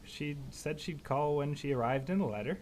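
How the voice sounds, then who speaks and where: An actor playing an earnest, anxious young husband in a stagey radio drama. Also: male voice, a little high, moderate pace, a little mellow, a little hiss or hum, lightly acted, rough recording. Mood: uneasy.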